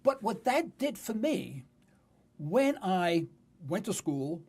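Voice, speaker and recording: Man in his sixties, New York accent, raspy voice, lively intonation, talk radio host, conversational storytelling, emphatic phrasing. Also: high-pitched, slow pace. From New York City. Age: 60s.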